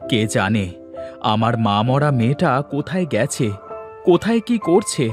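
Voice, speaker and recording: A man's voice: mid-range voice, very animated, moderate pace, music in the background, lightly acted. A man voicing an indignant, protective father in a cartoon, speaking emphatically. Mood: angry, worried. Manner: emphatic, reproachful. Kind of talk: cartoon dialogue acting.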